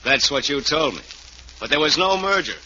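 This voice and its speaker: Menacing male investor who lost everything, very sharp voice, noisy vintage radio sound. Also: fairly high pitch, expressive, normal speed. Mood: bitter, accusing. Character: bitter, ruined male investor, quietly menacing. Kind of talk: 1940s radio acting. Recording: dull, noisy 1940s radio recording.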